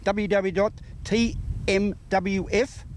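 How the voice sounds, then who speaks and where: Higher-pitched Australian male news interviewer. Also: steady tone, slow pace, a little hiss or hum.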